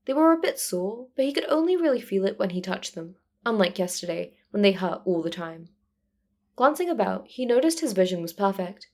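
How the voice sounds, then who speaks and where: A woman narrates prose fiction in a medium pitch. Her delivery is scripted storytelling, following a character's thoughts as an audiobook reader would. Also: a little mellow.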